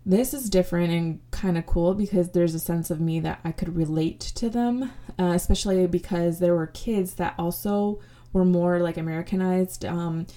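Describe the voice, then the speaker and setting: A woman's voice: on the low side, normal speed, dark tone. A young woman, a podcast host whose first language is Spanish, talking through her childhood in a reflective way, with lots of ums and restarts. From United States. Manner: reflective, many fillers and restarts. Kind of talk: casual personal storytelling.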